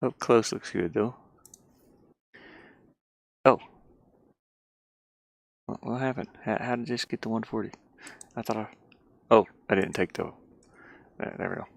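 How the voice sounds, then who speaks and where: A man's voice: lowish voice, a little slow, creaky, pauses often, dry recording. A man giving casual think-aloud commentary as he works on a game, rambling and muttering to himself with repeated words. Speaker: game developer streaming his work.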